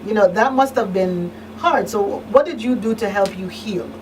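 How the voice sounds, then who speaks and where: A woman's voice: medium pitch, normal speed. A woman hosting a talk show, interviewing conversationally and attentively, feeling her way through questions. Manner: attentive, rephrases questions, frequent murmured acknowledgments. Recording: distant mic, noisy room hum.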